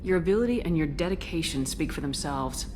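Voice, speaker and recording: A woman playing a steady, supportive military commander in a video game, direct and probing in how she talks. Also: deep voice, brisk, noisy background.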